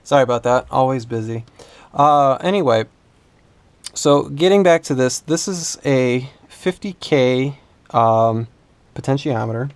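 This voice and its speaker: A man giving an unscripted hands-on demonstration as a repair instructor, thinking aloud in a halting way. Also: mid-range voice, clear, resonant tone. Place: indoors at a workbench.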